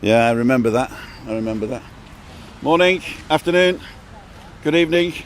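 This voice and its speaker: An upbeat man from England holds a phone out on a seaside street and chats with strangers for his livestream. His voice sits at an average pitch for a man. He is friendly, repeats what people tell him, and keeps the talk moving. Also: animated, moderate pace, slight background noise. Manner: chatty and upbeat, greets strangers and repeats their answers. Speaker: live-streaming vlogger stopping passers-by. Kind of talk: casual street interviews on a livestream. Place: outdoors on a seafront street at dusk.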